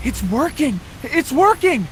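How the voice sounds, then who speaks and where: An actor playing an excitable, eager young male disciple in a video game, frantic and exclaiming over music. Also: extremely high pitch, unhurried, slight background noise, heavily acted. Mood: excited. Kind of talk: video game cutscene acting.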